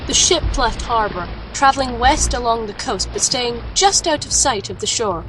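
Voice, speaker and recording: Resolute female alchemist, played by a woman, serious and measured, fairly high voice, audio drama, faint noise behind. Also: expressive, close to an everyday voice. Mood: brooding. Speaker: lead character and narrator. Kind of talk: audio drama dialogue and reflective first-person narration.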